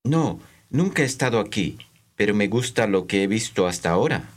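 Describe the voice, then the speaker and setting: A man reading scripted language-course dialogue, enunciating slowly and carefully for learners. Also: fairly low pitch, lively intonation.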